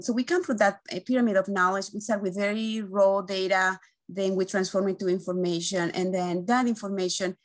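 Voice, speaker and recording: A woman, giving an earnest, halting webinar presentation as an engineering team lead, often pausing on "uh", over a video call from an indoor room. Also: medium pitch. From Guatemala. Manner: earnest, halting, with frequent "uh" fillers and restarts. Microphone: video call webcam.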